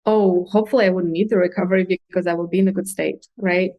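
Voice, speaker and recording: A woman's voice: fairly low pitch, steady tone, fast talker, no echo, muffled recording. A woman, explaining things earnestly and candidly in a conversational interview as a guest coach. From Russia. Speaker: podcast guest, consultant and coach.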